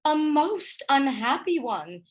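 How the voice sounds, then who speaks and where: A gentle noble wife, wronged and bewildered acted by a woman, in a Shakespearean radio play. Her high voice moves expressively, earnest and submissive in manner. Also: big pitch swings, takes it slowly, heavily acted. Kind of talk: Shakespearean radio drama acting.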